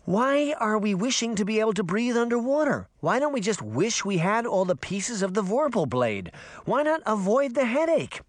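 A man voicing a sharp-tongued, skeptical, practical girl in a storybook, challenging everything with pointed questions. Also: high voice, very animated, normal speed, no room sound, clean studio sound, pitched above the performer's own voice.

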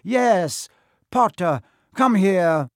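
Storybook henchman voiced by a narrator: villainous man, impatient, raised pitch, sharp and commanding. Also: animated, drawn-out words, dry recording, clean studio sound. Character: impatient, barking villainous man, servant to a dark master.

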